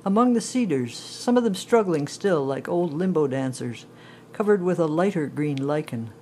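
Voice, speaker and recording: A woman reading poetry aloud with deliberate, expressive phrasing. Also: low-pitched, slight background noise. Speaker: poetry reader.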